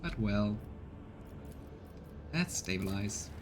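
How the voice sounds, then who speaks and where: A man's voice: fairly low pitch, animated, drawn-out words, a little hiss or hum. A man doing casual let's play commentary, chatting as he thinks aloud and reads game text. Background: faint game music.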